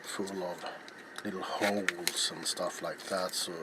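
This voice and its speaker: A middle-aged man with a lowish voice talks very slowly and softly, as an ASMR crafting host. He is hushed and often drops into a whisper. The recording has very loud background noise. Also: fairly even intonation. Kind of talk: soft-spoken ASMR craft narration. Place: small cluttered indoor workshop.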